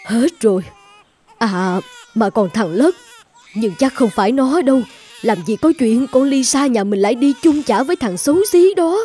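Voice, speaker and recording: Woman acting a high-voiced, soft-hearted grandmother. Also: lively intonation, moderate pace, slight background noise, lightly acted. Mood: pleading, doubtful. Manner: exclaiming, pleading. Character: soft-hearted middle-aged mother, a doting new grandmother. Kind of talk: animated fairy-tale dubbing.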